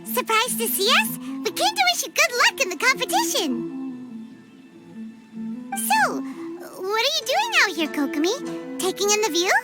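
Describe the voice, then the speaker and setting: Super high squeaky little floating buddy, bouncy and chatty, pitch all over, music under it. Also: female voice, wildly swooping pitch, normal speed, long pauses, heavily acted. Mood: curious. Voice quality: squeaky, childlike. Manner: bubbly, chatty, third-person self-talk. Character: tiny chirpy female floating companion creature. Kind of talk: game cutscene voice acting.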